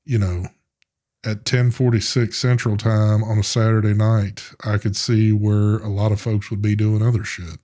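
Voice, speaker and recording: A man with a slightly low voice chats on a live stream. He rambles loosely from one idea to the next and cusses casually, sounding relaxed and unhurried. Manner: laid-back, cussing, rambling. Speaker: co-host of a live vape show.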